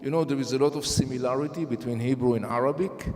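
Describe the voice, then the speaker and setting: A man moderating a talk event, brisk and light-hearted as he comments between speakers. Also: medium pitch, darkish tone. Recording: reverberant hall.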